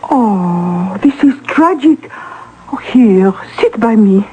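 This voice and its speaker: A woman's voice: mid-range voice, animated, slow pace, mellow, husky, full character voice, low-quality recording. A woman acting in an old-time radio drama, playing a grand, affected society madame who patronises artists and gushes dramatically. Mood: gushing.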